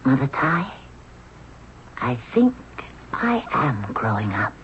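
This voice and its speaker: A woman's voice: rumbling low, sing-song, slow pace, full character voice. An actress playing a spirited, girlish and playful young Egyptian princess in a radio drama. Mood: wondering. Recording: dull, noisy old radio broadcast.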